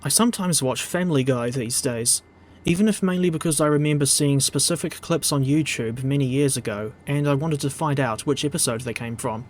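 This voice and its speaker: A man with a New Zealand accent reading a scripted narration in a dry, measured, digressive way. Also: medium pitch, a little hiss or hum. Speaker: video essay narrator.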